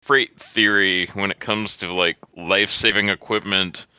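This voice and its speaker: A man's voice: low voice, fairly even intonation, unhurried, pushed and bright, clean tone, dry recording. A man co-hosting a casual movie commentary podcast, joking and laughing often. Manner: laughs often, rambling jokes. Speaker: podcast co-host.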